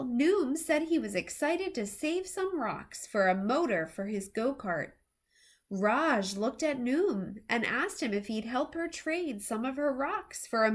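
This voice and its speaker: High, slow, animated woman storyteller. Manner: deliberate, animated delivery for young children. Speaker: storyteller reading a children's book aloud. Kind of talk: expressive children's storytime narration.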